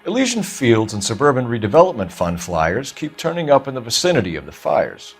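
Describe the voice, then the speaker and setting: An actor playing an earnest, stern young police detective in a 1940s crime game, formal and pressing. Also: male voice, mid-range voice, wildly swooping pitch, fairly quick, slightly rough, faint music behind, full character voice. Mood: firm. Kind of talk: dramatic video game acting.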